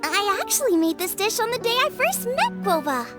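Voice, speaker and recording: A woman's voice: very high voice, sing-song, fairly quick, music in the background. A woman voicing a cheerful, friendly teenage girl cook in a game, chatty and eager. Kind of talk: scripted game voice acting.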